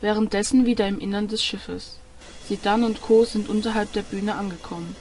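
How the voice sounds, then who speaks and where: A woman's voice: medium pitch, fairly even intonation, a little fast, darkish tone, a little hiss or hum. A woman reading scripted narration for an audio drama. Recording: music under the voice. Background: music.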